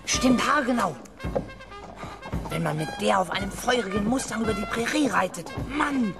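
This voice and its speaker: A man's voice: high-pitched, animated, moderate pace, creaky, over music. A male actor playing a boastful, eager young boy in a 1970s children's radio play, emphatic and naively cocky. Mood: awed boasting.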